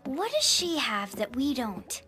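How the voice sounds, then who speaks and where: A woman's voice: sits high, very animated, measured pace, bright, forceful tone, faint music behind, exaggerated voice. A woman playing an eager, fretful teenage monster girl in a cartoon, with a girlish delivery. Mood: worried. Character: earnest, bubbly, anxious teenage monster girl. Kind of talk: animated cartoon acting.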